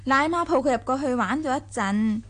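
A woman's voice: high-pitched, expressive, normal speed, fairly bright tone. A woman playing a worldly, assured young mistress of a wealthy household in a radio drama, sociable. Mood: concerned. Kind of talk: Cantonese radio drama acting.

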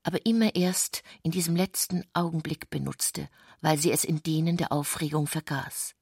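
Eine Frau spricht in einem literarischen Hörspiel eine ruhige, andächtige Erzählerin. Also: female voice, deep voice, steady tone, moderate pace, gravelly, darkish tone, husky, no echo, clean studio sound, exaggerated voice. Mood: solemn, hushed. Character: quiet, solemn female storyteller of a bedtime scene. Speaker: narrator in a literary radio play. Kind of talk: literary radio drama narration.

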